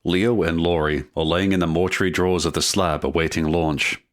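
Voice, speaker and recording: Deep-voiced male drama narrator. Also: steady tone, brisk. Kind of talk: scripted present-tense audio drama narration.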